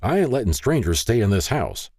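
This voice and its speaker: A man voicing a gruff, folksy older small-town husband who grumbles, in an audiobook. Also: fairly low pitch, big pitch swings, brisk, darkish tone, no echo, clean studio sound, pitched above the performer's own voice. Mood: reluctant, firm.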